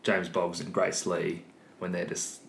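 A man's voice: little pitch movement, unhurried, creaky, fairly bright tone. A man on a film discussion panel, talking through his thoughts in a casual, reflective way. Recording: echoey room, noticeable hiss and rumble.

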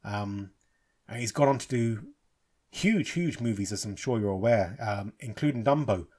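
Husky man, English-born, rambling casual film review, frequent ums and restarts. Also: lowish voice, animated, normal speed, a little mellow. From England. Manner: rambling, many fillers and restarts. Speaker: film podcast host.

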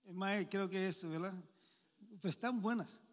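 A man's voice: high-pitched, moderate pace, big-room sound. A Puerto Rican man preaching informally to a men's meeting, chatty and joking, often asking the crowd questions. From Puerto Rico.

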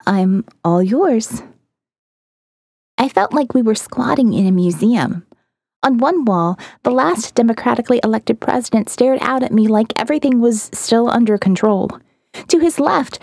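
A woman's voice: on the high side, normal speed, gravelly, a little mellow, no echo, clean studio sound. A woman reading scripted first-person dramatic narration as the narrator of an audio novel.

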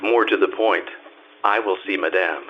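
A man's voice: low-pitched, moderate pace, close to an everyday voice. A man playing a wry hard-boiled detective. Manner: dry and wisecracking. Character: wry, tough male private detective. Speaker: narrator and lead. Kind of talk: live old-time radio play. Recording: dull lo-fi with loud music behind.

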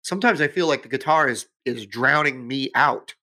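A man's voice: mid-range voice, moderate pace, pushed and bright. A man hosting a casual podcast chat, thinking aloud as he searches for words. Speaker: podcast host.

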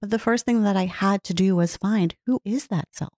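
A woman speaking in an earnest, heartfelt way, reflecting slowly on her own life. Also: medium pitch, darkish tone, no echo, clean studio sound. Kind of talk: reflective personal talk.

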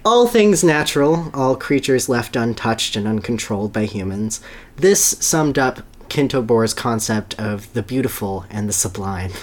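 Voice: mid-range voice, animated, a little slow. Podcast host reading a story aloud. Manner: amused, breaks into laughter while reading. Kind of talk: dramatic reading with casual asides.

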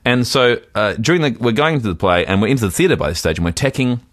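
A man's voice: medium pitch, lively intonation, fast, no room sound, clean studio sound. A man co-hosting a casual comedy podcast, telling a rambling, self-deprecating story with lots of restarts. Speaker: podcast co-host.

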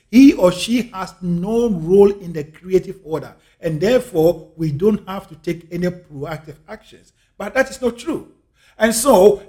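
A man's voice: high voice, a little mellow. A man from Ghana with a Ghanaian English accent, giving an earnest reflective monologue and repeating key phrases for emphasis. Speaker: speaker on life and scripture.

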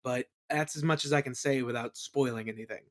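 A man chatting informally as an interview guest, explaining his creative work in a halting, think-aloud way. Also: mid-range voice, steady tone. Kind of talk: casual chat, explaining own creative work.

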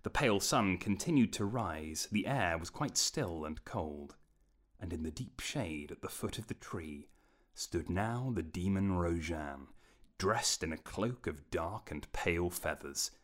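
A man's voice: low-pitched, expressive, measured pace. A man reading scripted narration for a fantasy story.

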